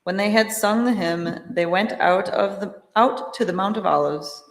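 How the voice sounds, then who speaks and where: Woman, scripture narrator, measured, formal, dramatic reading, very reverberant church. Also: mid-range voice, vocal fry. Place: large church during a service. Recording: echoey church, long reverb.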